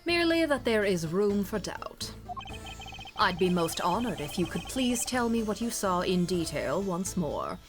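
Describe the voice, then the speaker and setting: A woman voicing a calm, polite murder suspect, speaking in a measured, formal way. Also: medium pitch, music in the background.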